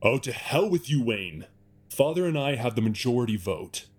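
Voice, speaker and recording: A man's voice: medium pitch, big pitch swings, normal speed. Man playing an arrogant, sneering corporate heir. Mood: outraged. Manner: indignant, sneering, theatrical. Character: arrogant, scornful rich male heir and chief executive. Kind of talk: audio drama acting.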